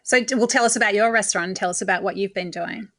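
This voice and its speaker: A woman's voice: medium pitch, rapid-fire, a bit bright. A woman hosting a food podcast, prompting her guest with eager, open questions. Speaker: podcast host. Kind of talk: interviewing.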